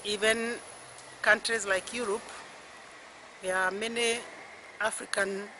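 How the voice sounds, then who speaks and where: Slow, bright-voiced older Kenyan woman. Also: mid-range voice, fairly even intonation, a little hiss or hum. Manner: slow, halting phrases with long pauses. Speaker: retired university lecturer. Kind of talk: personal reflective talk.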